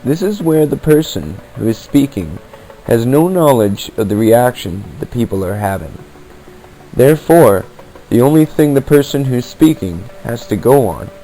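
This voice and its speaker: A man's voice: mid-range voice, expressive, normal speed, warm tone, soft background music, low-quality recording. A man hosting a podcast, reading scripted informational narration on a basic amateur setup. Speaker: podcast host. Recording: amateur podcast, basic equipment, uneven levels.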